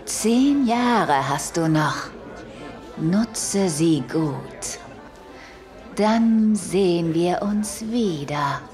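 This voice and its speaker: Mysterious female demon, cold, mocking, very drawn-out delivery, audio drama, clear music underneath. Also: lowish voice, expressive, a little mellow. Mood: menacing. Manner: taunting, deliberate, sometimes whispering. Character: mysterious red-haired devilish woman, coldly mocking soul collector. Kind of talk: German audio drama acting.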